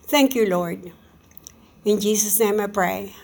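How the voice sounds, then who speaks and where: A woman with a soft voice giving a sermon and leading a spoken prayer, earnest and halting, often repeating "oh Lord". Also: mid-range voice, creaky, background noise. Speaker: preacher.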